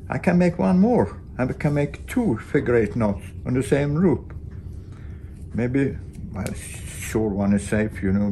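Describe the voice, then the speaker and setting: A man in his eighties from Sweden, a solo sailor explaining his boatbuilding in a casual, halting, plain-spoken vlog in his workshop. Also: mid-range voice, a little mellow, slight background noise. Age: 80s. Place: large indoor boat workshop.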